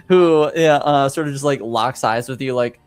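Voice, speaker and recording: Slow, halting man with a clear voice. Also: mid-range voice, no room sound, faint music behind. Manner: halting, hesitant phrasing. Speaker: game master. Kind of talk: improvised narration of a tabletop game.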